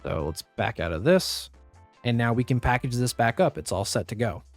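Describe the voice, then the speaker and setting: A man with a slightly raspy voice, recovering from the flu, giving a step-by-step software tutorial as a voice-over. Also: mid-range voice, animated, moderate pace, faint music behind. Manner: step-by-step instructive, plain and practical. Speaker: tutorial host. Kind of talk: screen-recorded software tutorial.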